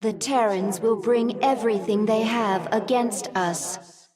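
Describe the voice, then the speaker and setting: An actress playing a calm, devoted alien advisor creature who speaks in a measured, solemn way in a game cutscene. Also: female voice, a little high, crowd noise, lightly acted. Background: music.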